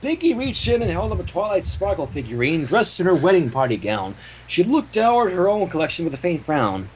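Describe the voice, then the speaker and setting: A man's voice: high voice, sing-song, brisk, a little hiss or hum, rough recording. A man reading fan fiction as narrator, with casual asides. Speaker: story reader.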